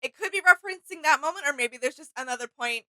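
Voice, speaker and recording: A woman's voice: high voice, animated, fast, bright, forceful tone, no echo. A woman co-hosting a reaction video, giving casual fan commentary and often searching for her words.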